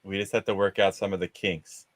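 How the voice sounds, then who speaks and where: A man's voice: low voice, little pitch movement, a little fast, dry recording. A man hosting a movie discussion show on a video call, chatting casually. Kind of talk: casual chat.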